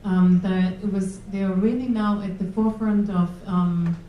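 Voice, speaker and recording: A woman from Germany with a German accent, speaking hesitantly with many pauses as a panelist. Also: medium pitch, fairly even intonation, lo-fi sound, slight background noise. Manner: hesitant, many ums, restarts. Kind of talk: panel discussion. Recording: roomy room audio from a distance.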